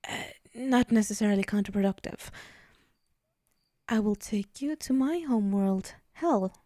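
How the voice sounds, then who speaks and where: A woman's voice: mid-range voice, moderate pace, warm tone, no echo, clean studio sound, close to an everyday voice. A woman voicing a vain, sly demon in an ASMR roleplay, speaking in a haughty, teasing, theatrical way with sighs. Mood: impatient, coaxing. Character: vain, sly female demon striking soul bargains. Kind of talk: ASMR roleplay monologue to the listener.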